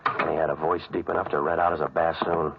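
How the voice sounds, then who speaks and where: An American actor playing a cynical waterfront odd-job man in a 1940s radio noir, delivering sardonic, deadpan wisecracks and narration. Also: a bass voice, fast talker, creaky. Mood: wry, world-weary. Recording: muffled, noisy old radio recording.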